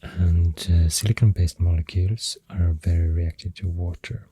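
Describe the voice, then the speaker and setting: An ASMR host with a Swedish accent, rambling softly and hesitantly in a breathy voice that often drops to a whisper, close to the mic over pencil sounds. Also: low-pitched, fairly even intonation, no room sound. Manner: hesitant, unhurried musing. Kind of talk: soft-spoken ASMR rambling. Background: pencil drawing and clicking sounds.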